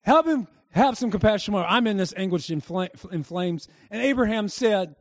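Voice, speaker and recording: A male pastor preaching to his congregation with a high, ringing voice. His delivery is emphatic, and he keeps prompting the crowd to respond. The sound is close and dry, with almost no room echo. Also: expressive, normal speed. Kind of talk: sermon. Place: church service before a congregation.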